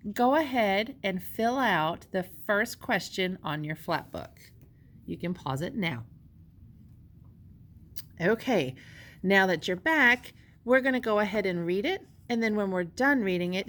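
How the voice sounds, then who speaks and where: A woman's voice: medium pitch, fairly bright tone. A woman teacher giving step-by-step instructions and reading a storybook aloud expressively to young pupils. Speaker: elementary school teacher.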